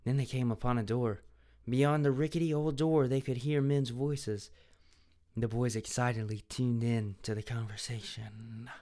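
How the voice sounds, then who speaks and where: A man's voice: medium pitch, fairly even intonation, moderate pace, warm tone. A young man reading a children's mystery book aloud in a mocking, dramatic way, breaking off to make sarcastic comments. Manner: sarcastic, laughing at the text.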